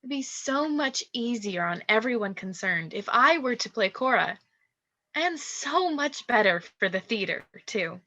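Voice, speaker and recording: A woman's voice: a little high, lively intonation, a little slow, pushed and bright, exaggerated voice. A woman acting in a radio play as a scheming young actress, falsely meek and coolly blackmailing. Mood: coolly menacing. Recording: dull, lo-fi voice track.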